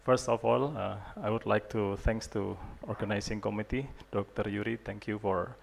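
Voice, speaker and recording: A man in his thirties with an Indonesian accent, giving a conference lecture on a handheld mic in a large hall, halting and self-deprecating with many fillers. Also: on the low side, fairly even intonation, gravelly, a little hiss or hum. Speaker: guest lecturer, urologist.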